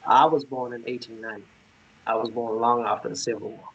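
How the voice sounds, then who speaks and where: Casting: man to play a gentle, protective male ghost from an old mine, hesitant and earnest, for a romantic fantasy read. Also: mid-range voice, fairly even intonation, fairly quick, slightly rough, lo-fi sound. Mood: matter-of-fact.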